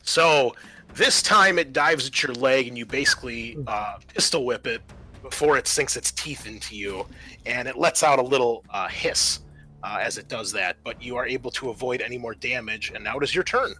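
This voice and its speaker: A man's voice: medium pitch, moderate pace, pushed and bright, husky. A man acting as game master, casually narrating a tabletop roleplaying game and explaining rules in informal, sweary talk.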